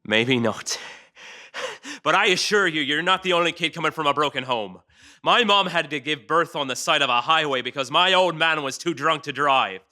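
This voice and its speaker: Man playing a hard, sharp-voiced hot-tempered cop. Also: a little high, animated, normal speed. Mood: angry, hardened. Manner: gruffly confrontational, swearing, taunting. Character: hot-tempered, abrasive male police detective. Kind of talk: table read of a crime drama.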